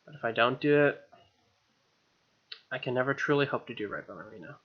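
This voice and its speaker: A man's voice: mid-range voice, lively intonation, fairly quick, phone-quality sound. A man hosting a let's play, reading game text expressively with wry, casual asides.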